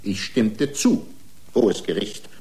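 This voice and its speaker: An actor playing an aggrieved, excitable racing driver in a radio drama, pleading his case in indignant, long-winded, formal rhetoric. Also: lowish voice, slow. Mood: aggrieved, explaining. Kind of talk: radio drama acting, courtroom speech.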